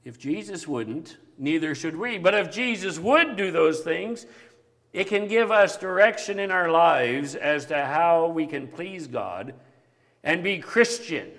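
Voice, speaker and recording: A man preaching a sermon with a big voice that grows animated at times. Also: fairly high pitch, a little slow, in a large hall. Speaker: pastor.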